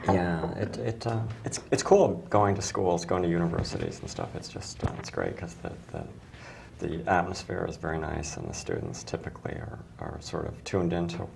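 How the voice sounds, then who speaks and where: Hoarse, gravelly-voiced woman host. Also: rumbling low, very animated, moderate pace, darkish tone, a little hiss or hum. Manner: chatty, restarts often. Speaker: talk show host. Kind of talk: casual studio chat.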